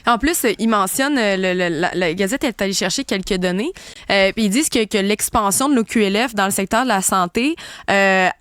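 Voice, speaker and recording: A woman's voice: mid-range voice, a little fast, a bit bright, clear, resonant tone, no echo. A woman with a Québécois accent, a research journalist, reports on a news story in a hesitant way, with many fillers and restarts. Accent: Québécois French. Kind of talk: news discussion.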